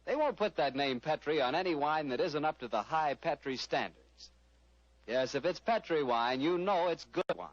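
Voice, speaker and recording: An American man announcing and hosting in a 1940s radio style, with smooth, emphatic salesmanship. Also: fairly high pitch, expressive, moderate pace, no echo. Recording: dry, muffled vintage radio recording.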